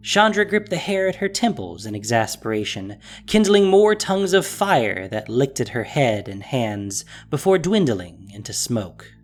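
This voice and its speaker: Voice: mid-range voice, big pitch swings, measured pace, few pauses, soft background music. A narrator reading a scripted fantasy story in a dramatic storytelling style.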